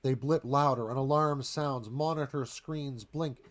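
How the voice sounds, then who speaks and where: A man's voice: mid-range voice, steady tone, unhurried. A man reading dramatic scripted narration as screenplay narrator, in clipped phrases.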